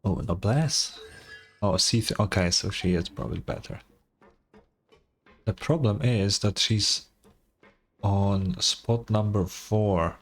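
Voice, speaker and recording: A man's voice: fairly low pitch, takes it slowly, long pauses. A man giving casual gameplay commentary as a streamer, with offhand muttered reactions and some swearing. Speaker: game streamer.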